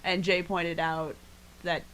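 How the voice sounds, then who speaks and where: A woman's voice: lowish voice, level tone, takes it slowly, fairly bright tone, slight background noise, rough recording. A woman co-hosting a podcast, talking in casual, conversational style. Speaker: podcast co-host. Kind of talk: casual chat reviewing an anime.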